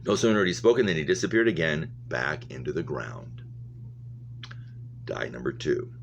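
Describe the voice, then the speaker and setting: A man with a low, deep voice reads a novel aloud. He trips on words here and there and repeats phrases to get them right. The recording is dull and lo-fi, with a noticeable hum behind him. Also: big pitch swings, normal speed, creaky. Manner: stumbles over words and rereads phrases. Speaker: reader, English teacher. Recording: muffled lo-fi audio with humming noise.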